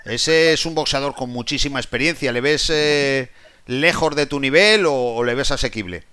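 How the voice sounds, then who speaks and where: A man's voice: medium pitch, normal speed, pushed and bright, smooth. A man interviewing a boxer, asking casual, friendly questions. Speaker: sports interviewer.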